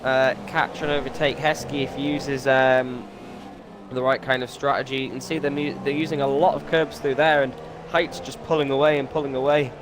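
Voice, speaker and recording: A man co-commentating a racing game league, a little raspy from a cough and hesitant with fillers. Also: medium pitch, flat delivery, normal speed. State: has a cough, slightly raspy. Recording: noisy game audio behind. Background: racing game engine noise.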